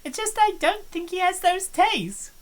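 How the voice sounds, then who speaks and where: A woman's voice: very high-pitched, big pitch swings, unhurried, pitched above the performer's own voice, full character voice. A woman voicing a hesitant, doubtful student. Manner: hesitant, apologetic. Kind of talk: fan voice acting of a visual novel.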